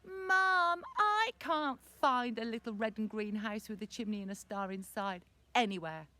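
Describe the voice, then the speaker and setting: A woman's voice: medium pitch, steady tone, normal speed, a bit bright, natural voice. A woman voicing a whiny, bored young boy with a grumpy, stroppy manner in a told children's story. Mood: whiny, protesting.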